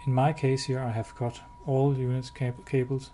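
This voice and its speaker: A man giving a hands-on product demo indoors, explaining step by step in a halting, matter-of-fact way. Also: medium pitch, level tone. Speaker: product demonstrator. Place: indoors, showroom with wall-mounted devices. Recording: clear background noise.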